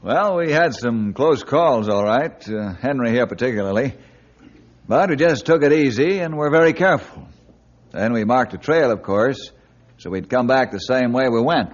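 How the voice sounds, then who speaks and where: A man's voice: mid-range voice, animated, moderate pace, natural voice. An actor playing a hearty male ranger leader who also hosts a 1950s radio adventure show. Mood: relaxed. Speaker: lead character and host. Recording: dull lo-fi 1950s radio recording.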